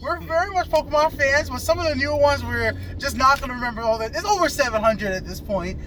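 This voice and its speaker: A man's voice: very high voice, expressive, quick pace, bright, forceful tone. A man giving a casual, chatty movie review as host, checking that his companion agrees, recorded in a car while driving. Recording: noisy in-car recording with road noise. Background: car and road noise.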